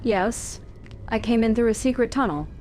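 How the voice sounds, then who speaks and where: A woman's voice: on the high side, normal speed, soft background music, natural voice. A woman voicing a composed young female warrior hero in a fan-voiced video game. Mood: calm. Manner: calm, direct, questioning.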